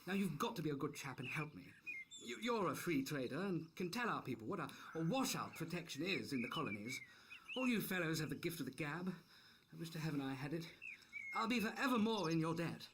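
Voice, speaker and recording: A man voicing a hearty, flustered young upper-class English gentleman, full of effusive apologies and old-fashioned exclamations, as he reads a novel aloud. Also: fairly high pitch, big pitch swings, brisk, background noise, pitched above the performer's own voice. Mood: pleading. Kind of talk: acting out a character while reading a novel aloud.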